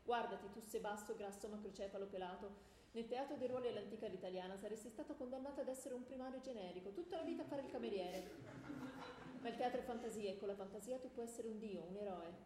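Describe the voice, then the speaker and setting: A woman's voice: medium pitch, quick pace, mellow, natural voice. A woman playing a glib, theatrical Hephaestus in a radio stage comedy, wordy, declamatory and mocking. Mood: lecturing, scornful. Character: glib, theatrical player voicing Hephaestus, the axe-wielding smith god. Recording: echoey room, faint background noise.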